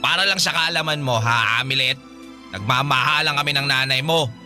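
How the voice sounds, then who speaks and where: A man acting a cocky, smooth-talking boyfriend in a Filipino radio drama, playful and ingratiating. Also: medium pitch, moderate pace, sharp tone, faint music behind.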